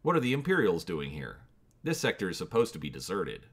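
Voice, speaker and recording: A man voicing a roguish young male smuggler pilot, speaking in quick, wry, clipped remarks. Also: low-pitched, wildly swooping pitch, slightly rough, heavily acted. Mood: alarmed.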